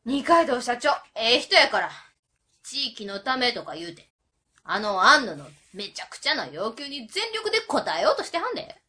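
A woman acts out a hot-tempered Kansai auntie for a radio drama. Her voice is bright and forceful, swinging widely in pitch. She scolds bluntly in colloquial speech. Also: big pitch swings, moderate pace. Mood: indignant, pressing. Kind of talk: web radio drama acting.

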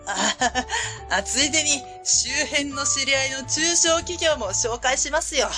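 A woman's voice: high-pitched, a little slow, over music. A woman playing a cheerful, welcoming small-factory boss, friendly and polite, in a radio drama.

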